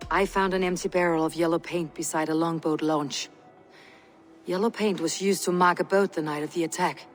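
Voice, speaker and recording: A woman's voice: lowish voice, steady tone, normal speed, soft background music, lightly acted. An actress playing a tough, blunt, sardonic Viking warrior woman in a game cutscene. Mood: grave, matter-of-fact. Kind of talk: dramatic game cutscene acting.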